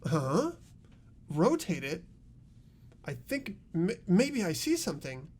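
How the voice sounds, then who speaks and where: Man acting as an earnest, high-voiced student detective. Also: big pitch swings, takes it slowly, darkish tone, husky, exaggerated voice. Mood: puzzled. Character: earnest young male student detective, puzzling things out. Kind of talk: amateur voice acting in a visual novel playthrough.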